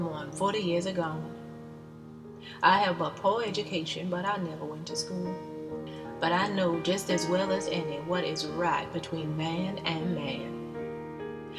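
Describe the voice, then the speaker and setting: A woman's voice: lowish voice, natural voice. A woman playing an earnest, insistent mother of a Civil War soldier, reading her plain-spoken letter as drama. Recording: long reverb, clear music behind.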